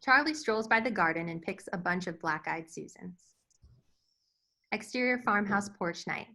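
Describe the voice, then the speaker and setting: A woman's voice: mid-range voice, expressive, moderate pace, bright, forceful tone. A woman reading stage directions as scripted narration for a screenplay table read.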